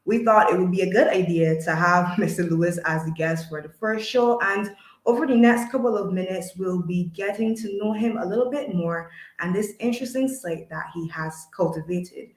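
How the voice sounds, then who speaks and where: Formal female interview host, low-ish voice, measured and polite, echoey room. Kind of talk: scripted interview hosting. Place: studio.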